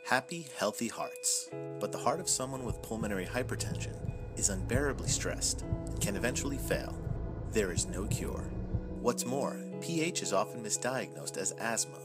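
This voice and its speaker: Gentle male ad narrator. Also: fairly low pitch, animated, normal speed. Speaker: ad announcer. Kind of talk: public service ad voice-over. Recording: loud guitar music bed.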